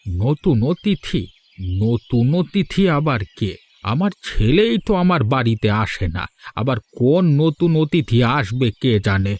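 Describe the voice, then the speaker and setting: A man's voice: medium pitch, big pitch swings, a little slow, darkish tone, dry recording. A man voicing a bitter, sarcastic, aggrieved old village father in a Bengali cartoon. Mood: incredulous, hurt. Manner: grumbling, sarcastic. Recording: music behind the voice.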